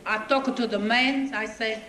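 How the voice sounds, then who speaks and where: A woman's voice: fairly high pitch, steady tone, unhurried, close to an everyday voice. A woman comedian playing a chatty Italian immigrant woman with a thick accent and broken English, telling indignant stories. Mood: indignant comic. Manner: indignant, storytelling, quoting others. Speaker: stand-up comedian. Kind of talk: comic character monologue. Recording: echoey stage with audience noise. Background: audience laughter.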